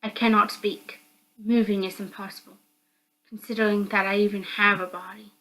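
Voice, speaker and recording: A woman's voice: mid-range voice, flat delivery, moderate pace, a bit bright, low-quality recording. A woman reading a visual novel game aloud as dramatic narration. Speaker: host of a visual novel read-through. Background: background music.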